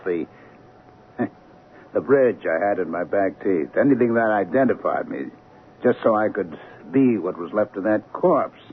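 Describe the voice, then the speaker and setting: An actor playing a shifty, self-pitying husband back from a faked death, halting and pleading, in an old-time radio drama. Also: male voice, on the low side. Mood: confessional. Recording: narrow, noisy old radio recording.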